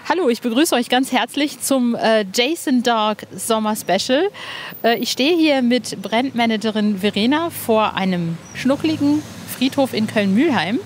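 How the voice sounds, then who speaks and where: A woman's voice: a little high, animated, measured pace. A woman hosting a podcast, chatting and interviewing in an informal, enthusiastic way. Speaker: podcast host. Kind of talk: excited podcast interview and chat. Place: outdoors in a cemetery. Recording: clear outdoor background noise.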